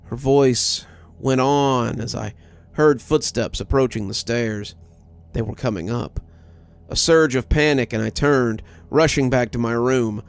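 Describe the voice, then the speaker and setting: A man's voice: mid-range voice, measured pace, gravelly, clear, resonant tone. A man reading a scripted first-person horror story as its narrator. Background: music.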